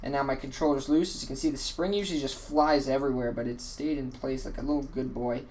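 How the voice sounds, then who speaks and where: A man's voice: mid-range voice, fairly even intonation, quick pace, darkish tone, slight background noise. A man hosting a casual how-to walkthrough, talking in a rambling, offhand way and correcting himself often, his voice tired and a little worn. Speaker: tutorial host.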